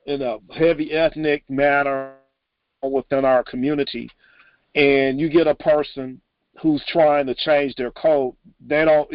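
An American man hosting a casual interview, asking questions in a halting, informal way. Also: on the high side, no echo, lo-fi sound. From Texas, United States. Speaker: interviewer, host.

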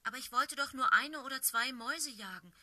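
Woman voicing young tomcat, curious, earnest, light higher voice, bright. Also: a little fast, no echo, exaggerated voice. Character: curious young male house cat.

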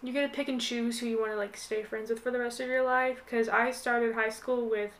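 Higher-voiced American teenage woman, casual and hesitant. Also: flat delivery, brisk, a bit bright. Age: late teens. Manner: hesitant, rambling, full of "like". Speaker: podcast guest. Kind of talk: casual chat.

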